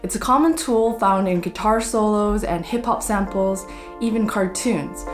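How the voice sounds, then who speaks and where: A woman's voice: medium pitch, moderate pace, over music. A young American woman hosting with scripted educational narration. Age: young adult. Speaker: host. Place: wood-panelled room indoors.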